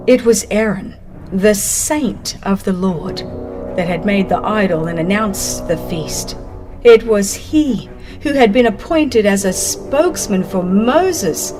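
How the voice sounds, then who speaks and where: A woman reads a dramatized religious history as a narrator, in a solemn, deliberate storytelling manner. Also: mid-range voice. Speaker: audiobook narrator. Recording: music behind the narration. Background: music.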